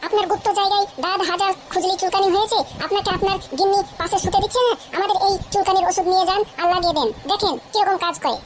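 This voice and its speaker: A woman plays a mischievous village huckster in a cartoon. The voice is extremely high and quick, full of boisterous sales patter, on a noisy amateur track. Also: steady tone. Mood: hawking patter. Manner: boisterous, patter-like street hawking. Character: mischievous cheeky village sidekick hawking cheap ointment. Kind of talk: comic cartoon dialogue.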